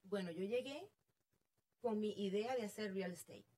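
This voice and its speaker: A woman's voice: medium pitch, normal speed, dark tone. Casting: middle-aged Venezuelan-accented woman, mid-range voice, chatting informally as an interviewed guest. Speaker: interview guest, real estate agent. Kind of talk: casual conversational storytelling.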